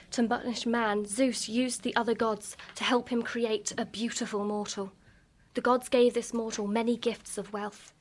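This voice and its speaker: An actress plays an enigmatic young woman from myth in a radio play. Her voice sits at a middle pitch, and she tells her tale with measured suspense and a teasing secrecy. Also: slight background noise, natural voice. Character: mysterious, teasing beautiful young mythic woman storyteller. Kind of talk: dramatic storytelling in radio drama. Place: live on a festival stage.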